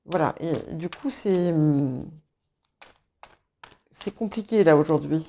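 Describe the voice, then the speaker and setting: A woman's voice: low voice, slow, dark tone, muffled recording, slight background noise. A woman whose first language is English, giving a tarot reading in a hesitant way, with many fillers and restarts. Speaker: tarot reader. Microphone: small clip-on mic.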